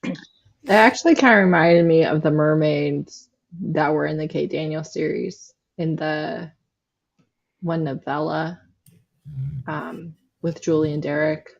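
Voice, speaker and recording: A woman's voice: low voice, fairly even intonation, measured pace, creaky, long pauses. A woman chatting casually as a co-host in a book discussion, on slightly muffled sound. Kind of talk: casual chat.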